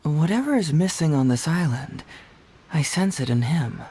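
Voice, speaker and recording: An actor playing a confident, free-spirited young male detective in a video game, reasoning in a measured, deliberate way. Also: a little high, expressive, warm tone, a little hiss or hum, natural voice. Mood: thoughtful, assured. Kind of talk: video game character dialogue.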